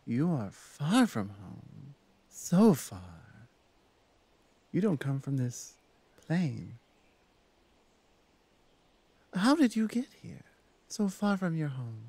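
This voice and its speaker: A woman playing a confident orc shaman in an ASMR roleplay, speaking in a measured, self-assured way. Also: low-pitched, big pitch swings, warm tone, breathy edge, dry recording, full character voice. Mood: focused, wondering. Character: confident orc shaman woman, the huntress's magic-wielding sister. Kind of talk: ASMR roleplay audio drama.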